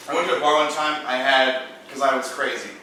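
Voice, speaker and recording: Young guy rambling and swearing over drinks, bright voice, super echoey room, mic far off. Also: mid-range voice, steady tone, fast, a little hiss or hum. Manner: rambling, profane, tipsy, laughing asides. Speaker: storyteller in a drinking game. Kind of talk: casual chat, rambling storytelling. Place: room indoors with friends.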